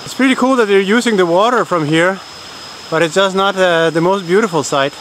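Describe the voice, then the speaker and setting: A man in his thirties from Denmark giving halting, informal vlog commentary outdoors, speaking into a camera at arm's length. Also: sits high, fairly bright tone, poor audio. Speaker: travel vlogger. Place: outdoors in a forest park. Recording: outdoor noise of insects and water.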